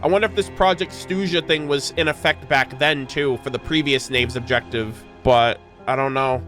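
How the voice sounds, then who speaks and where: A man hosting a gaming video, giving casual, expressive, slangy reaction commentary close to the mic. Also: mid-range voice, normal speed. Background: game music.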